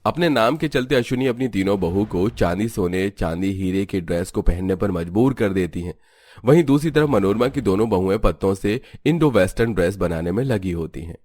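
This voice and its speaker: A man's voice: fairly low pitch, lively intonation, a little fast, a little mellow, dry recording, studio quality. A man reading scripted narration for a cartoon story as its storyteller.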